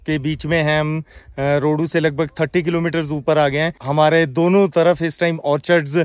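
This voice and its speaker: A man's voice: fairly high pitch, level tone, normal speed, no room sound. A man from India narrating a travel vlog casually and enthusiastically, mixing English words into his speech and addressing viewers directly. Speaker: travel vlogger. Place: outdoors on mountain roads and in orchards.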